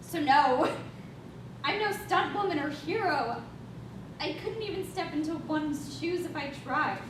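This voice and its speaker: A woman's voice: lively intonation, a little slow. A woman acting in a staged reading as a guilt-ridden, defensive young woman on a space mission, speaking in an emphatic, confessional way. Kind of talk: staged reading, dramatic monologue. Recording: echoey room, distant mic, rumbling noise.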